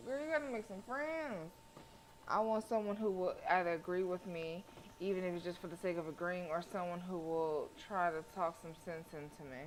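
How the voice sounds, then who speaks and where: A woman game streamer with a fairly low voice. She talks casually and sassily, slipping between slangy commentary and reading aloud. Faint noise sits behind her. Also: rough recording. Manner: sassy, slangy.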